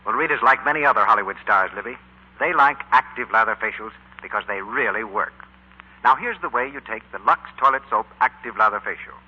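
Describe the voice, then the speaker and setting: A man announces in scripted 1940s radio sales style. His mid-pitched voice has a husky grain and lively intonation, through a dull old broadcast. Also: fairly quick.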